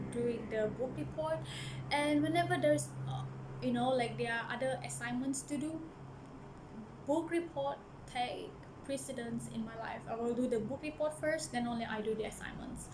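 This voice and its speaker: A woman's voice: on the high side, noisy background. A young woman with a Malaysian accent telling a personal story to the camera as a book vlogger, earnest and hesitant, often restarting her sentences. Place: church office.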